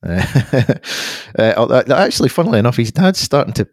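A man's voice: medium pitch, sing-song, a little fast, a little mellow, no room sound, studio quality. A man co-hosting a casual football podcast, thoughtful and hedging, with many restarts.

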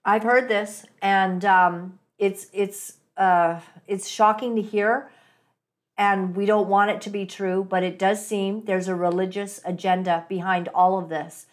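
A woman's voice: mid-range voice, steady tone, unhurried. A woman hosting a podcast, asking her guest questions in a casual, hesitant way with many fillers. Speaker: podcast host.

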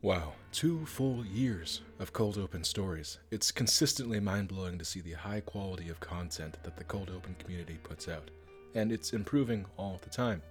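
Male editor, low pitch, scripted testimonial delivery, light music bed. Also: moderate pace. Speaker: publishing editor.

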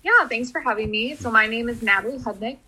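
A woman's voice: fairly high pitch, quick pace, sharp tone, breathy edge, low-quality recording. A young woman from Missouri, a graduate student, co-hosting a podcast in a friendly, conversational way. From outside St. Louis, Missouri.

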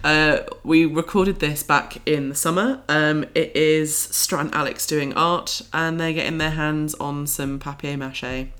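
A woman's voice: low voice, steady tone, a little slow, few pauses, a bit bright. A woman hosting a podcast in a jokey, giggly way, chatting casually and sometimes reading facts aloud. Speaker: podcast host.

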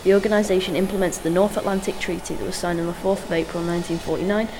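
A woman's voice: lowish voice, steady tone, rapid-fire, very noisy, lightly acted. A female performer playing a righteous, pompous talking banana agent in an amateur comic radio play, declamatory and officious.

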